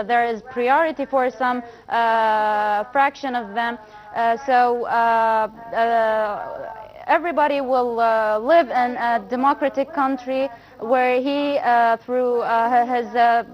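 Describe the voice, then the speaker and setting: A woman panelist in a studio discussion, explaining points haltingly with many fillers. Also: a little high, highs cut off.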